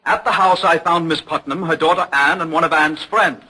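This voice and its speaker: An actor playing an earnest, indignant young son giving insistent testimony in an old radio drama. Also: male voice, a little high, moderate pace, bright, forceful tone, husky, full character voice, rough recording. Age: young adult. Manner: insistent, emphatic. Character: earnest, indignant young son defending his accused mother.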